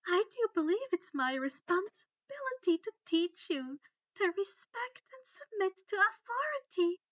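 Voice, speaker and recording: A woman's voice: extremely high pitch, very animated, measured pace, fairly bright tone, pitched above the performer's own voice, heavily acted. A woman voicing a sadistic older female teacher, a gloating villain with a mock-sweet, menacing manner of drawing out her words. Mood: sweet menace. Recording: dull, roomy home recording.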